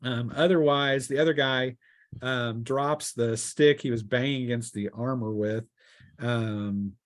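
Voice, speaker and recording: A man's voice: medium pitch, a little mellow, no echo. Man casually running a tabletop game. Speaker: game master.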